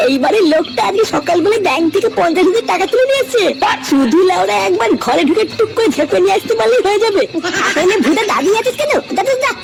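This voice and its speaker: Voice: very high-pitched, lively intonation, fast, vocal fry, full character voice. A performer voicing scheming, foul-mouthed village boys in a comedy cartoon, brash and bickering in slangy speech. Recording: loud music under the voice.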